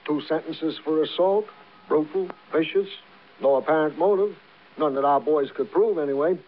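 Actor as higher-pitched, slangy police sergeant. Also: male voice, moderate pace, lightly acted. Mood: eager, reporting. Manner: colorful slangy cop talk with stilted formal words. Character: eager, wordy male police sergeant, comically formal slang. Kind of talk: 1950s radio crime drama acting. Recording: narrow, tinny vintage radio recording.

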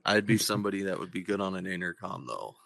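A man in his twenties who hosts a podcast, with a deep radio voice, chatting casually and laughing often. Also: lively intonation, fast, gravelly, crowd noise. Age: 20s. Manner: joking, laughs often between phrases. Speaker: podcast host. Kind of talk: casual chat.

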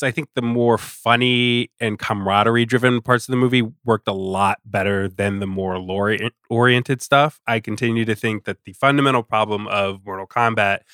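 A man giving his opinions as a guest in a casual discussion, emphatic and deliberate, leaning on key words. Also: lowish voice, fairly bright tone, no echo, clean studio sound. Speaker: podcast guest, staff writer. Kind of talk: conversational opinion and movie discussion.

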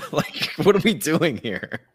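A man's voice: fairly high pitch, big pitch swings, takes it slowly, fairly bright tone, slightly rough. A man hosting a podcast, chatting casually with blunt, sarcastic, sweary opinions. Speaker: podcast host. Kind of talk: casual opinionated commentary chat.

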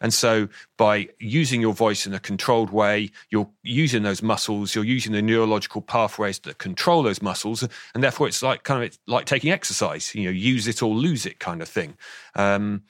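Guy in his 50s, a bit croaky, lowish voice, very dry sound, explaining stuff with lots of restarts. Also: a little fast, a bit bright, no echo. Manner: explanatory, thoughtful with frequent restarts. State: slightly croaky today. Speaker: author and guest. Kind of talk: interview on voice science.

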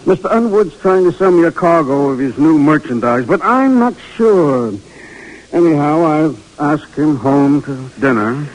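A man voices a cautious, good-natured sea captain in a vintage radio drama. He hems and pauses, with a slightly raised voice. The sound is that of an old broadcast. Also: on the high side, lively intonation, rough recording. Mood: hesitant. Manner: hesitant, hemming, good-humored. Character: cautious older male sea captain, a father. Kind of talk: 1940s radio drama acting.

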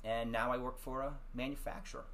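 Measured, hesitant man in casual chat. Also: mid-range voice, little pitch movement, fairly bright tone. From Washington DC area. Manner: measured, hesitant, with many ums. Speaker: guest.

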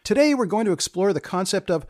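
A man hosting a podcast, narrating in a deliberate, deadpan way with phrases repeated for emphasis. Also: sits high, dry recording, studio quality. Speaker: podcast host. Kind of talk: scripted humorous narration of research studies.

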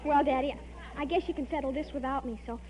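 A woman's voice: high voice, steady tone, quick pace, darkish tone, natural voice. A young woman playing an eager, polite teenage daughter in a 1940s radio comedy, girlish and earnest. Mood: cheerful. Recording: muffled, hissy vintage radio broadcast.

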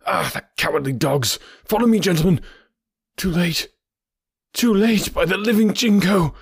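Man acting a frantic, high-pitched desperate ally. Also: normal speed, gravelly, slightly rough, dry recording, clean studio sound, exaggerated voice. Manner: exclamatory, urgent. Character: frantic, desperate male ally, loud and urgent.

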